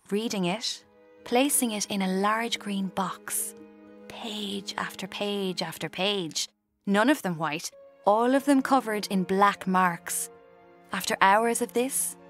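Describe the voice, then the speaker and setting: An actress playing a brooding, watchful young woman who narrates her own story in an intimate, deliberate way. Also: medium pitch, fairly bright tone. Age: young adult. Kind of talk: scripted first-person radio drama narration.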